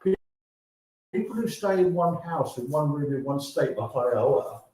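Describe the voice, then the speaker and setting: A man's voice: fairly high pitch, lively intonation, normal speed, darkish tone. A man, a guest author, hungover and rambling as he muses aloud over breakfast. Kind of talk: casual chat. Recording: echoey room, off-mic, faint noise.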